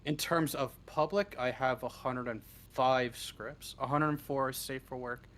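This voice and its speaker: A man with a medium-pitched voice answers interview questions in a casual way. He is halting and a little self-deprecating, and he swears offhandedly. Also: fairly bright tone. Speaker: interview guest, script writer. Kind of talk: casual chat.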